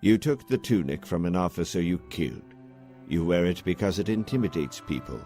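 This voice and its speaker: Very deep-voiced, calculating military officer played by a man. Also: animated, mellow. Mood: calm, commanding. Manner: measured, formal, precise. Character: calm, calculating male military officer and tactician. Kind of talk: scripted comic dub acting. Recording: music behind the voice.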